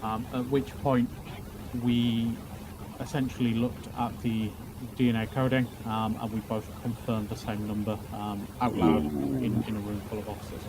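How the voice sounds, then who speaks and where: A man playing a police officer giving testimony in a roleplay courtroom, talking haltingly with many fillers and repeated words. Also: fairly low pitch, fairly even intonation, background noise.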